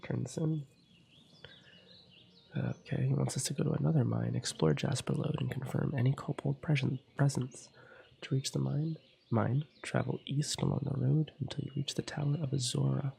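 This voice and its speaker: Gravelly soft-spoken man with a cold. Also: medium pitch, steady tone, normal speed, a little hiss or hum. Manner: quiet, slipping into whispers. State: has a cold. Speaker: ASMR gaming channel host. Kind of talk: soft-spoken ASMR gameplay commentary.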